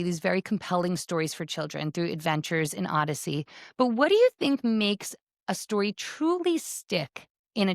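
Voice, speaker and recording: A woman's voice: mid-range voice, no echo, clean studio sound. A middle-aged woman hosting a podcast in a conversational, effusive and encouraging way. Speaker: podcast host.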